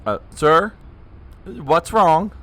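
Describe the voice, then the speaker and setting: Flustered, proper chief of staff, swinging pitch. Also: male voice, lively intonation, very slow, a bit bright, heavily acted. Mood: exasperated. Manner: nervous stammering, formal address. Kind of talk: comedic audio drama acting.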